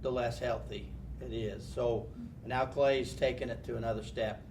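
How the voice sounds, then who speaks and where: Farmer guy talking water on a panel, halting, far from the mic with noise behind. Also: mid-range voice, steady tone. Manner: plainspoken, halting restarts. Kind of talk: panel discussion answer. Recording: distant mic, noisy room.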